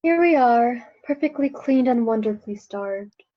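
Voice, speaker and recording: A cheerful, obliging young daughter played by a woman in a radio drama. The voice is fairly high, and the recording is a little dull. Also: expressive, a little slow. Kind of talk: radio play acting.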